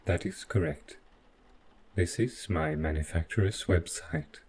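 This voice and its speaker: Man voicing a slow, deep, formal robot butler. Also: a little mellow. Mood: evasive, insistent. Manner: formal, measured, deliberate robotic phrasing. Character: overprotective male robot butler, evasive and stiffly polite. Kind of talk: scripted audio roleplay addressed to the listener.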